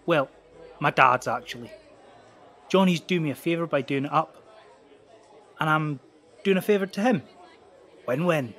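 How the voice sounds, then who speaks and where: An actor playing a laid-back, easily amused young slacker, talking informally and haltingly in an audio drama. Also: male voice, fairly high pitch, fairly bright tone, a faint crowd. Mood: casual. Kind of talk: audio drama testimony, casual.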